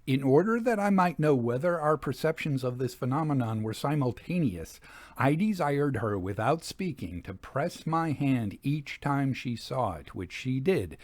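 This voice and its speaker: A man reading a Victorian ghost story as scripted narration, in a measured storytelling delivery. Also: mid-range voice, dry recording. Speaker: audiobook reader.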